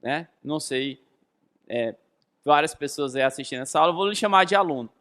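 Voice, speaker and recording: A man's voice: a little high, animated, brisk, a bit bright. A man in his thirties with a Brazilian accent teaching a lesson, emphatic and didactic, asking rhetorical questions and repeating key phrases. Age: 30s. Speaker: physics teacher.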